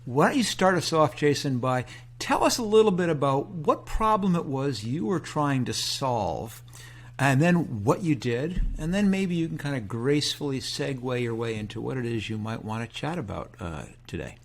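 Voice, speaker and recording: A man hosting a podcast interview, asking questions in a relaxed, folksy way with plenty of fillers. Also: mid-range voice, normal speed. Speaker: podcast host. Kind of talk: conversational interview.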